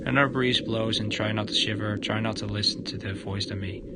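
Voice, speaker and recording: Low-voiced man reading over loud noise. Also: fairly even intonation. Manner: halting, stumbling over the text. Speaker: livestreamer. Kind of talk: reading visual novel narration aloud.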